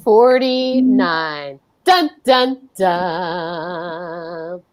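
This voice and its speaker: A woman's voice: lowish voice, drawn-out words, a little mellow. A woman hosting an amateur radio show reading, enthusiastic and cheering, on a video call. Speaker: host. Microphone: computer video call.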